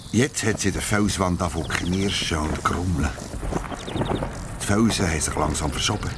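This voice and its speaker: A man's voice: low voice, moderate pace, very noisy. A man with a Swiss German accent narrating a scripted children's story as its storyteller. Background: music.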